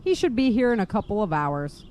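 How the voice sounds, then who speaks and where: A woman's voice: mid-range voice, very animated, a little fast, darkish tone, a little hiss or hum, natural voice. A woman playing an affectionate, reassuring working mother in an audio drama.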